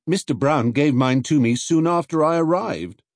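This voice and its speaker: A man's voice: a little high, lively intonation, moderate pace, no echo, studio quality, pitched above the performer's own voice. A man voicing a polite, earnest young male bear with a plaintive manner in an audiobook reading. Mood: sad. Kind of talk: audiobook character voice.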